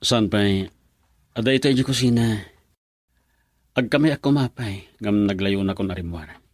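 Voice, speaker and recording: Man as an insistent, troubled buddy: deep-ish, dry. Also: moderate pace, no echo, lightly acted. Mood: urgent. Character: troubled man seeking secret information. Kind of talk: Ilocano radio drama acting.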